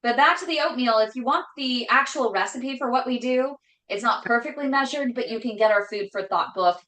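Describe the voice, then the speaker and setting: Loud, chatty woman in an echoey kitchen. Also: fairly high pitch, brisk. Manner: loud voice that carries, chatty and emphatic. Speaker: host cooking on a live stream. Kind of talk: casual cooking chat. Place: home kitchen.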